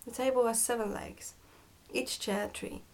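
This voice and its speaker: A woman's voice: fairly high pitch, fairly even intonation, measured pace, a little mellow. A woman voicing a sly goblin father from a storybook, bossy and teasing with his family. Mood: scheming.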